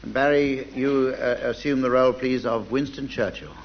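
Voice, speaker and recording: A man chairing a radio comedy panel game in a dry, measured, deadpan way. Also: mid-range voice. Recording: dull, very noisy old radio recording. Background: studio audience ambience.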